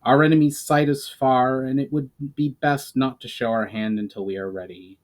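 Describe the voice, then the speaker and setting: A man's voice: medium pitch, fairly even intonation, moderate pace, close to an everyday voice. A man voicing an earnest male elf adventurer who speaks with formal courtesy in a fantasy storybook.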